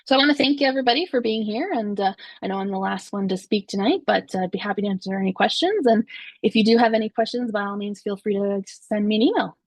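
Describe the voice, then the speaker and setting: Creaky-voiced woman answering questions. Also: mid-range voice, fast talker, no echo. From Canada. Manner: friendly, informal, with laughs and fillers. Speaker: optometrist and exam board member.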